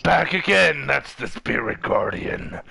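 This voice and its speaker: A man's voice: medium pitch, animated, a little slow, vocal fry, a loud crowd, voice pushed up. A man voicing a sly alien crime boss in a game, with a syrupy, showy manner that can turn blunt.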